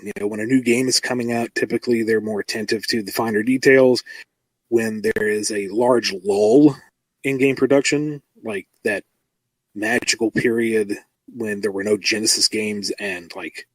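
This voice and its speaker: A man's voice: on the low side, fairly bright tone, slightly rough, dry recording, low-quality recording. A man in casual podcast talk as a writer, wryly acting out quoted exchanges. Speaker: comic book writer.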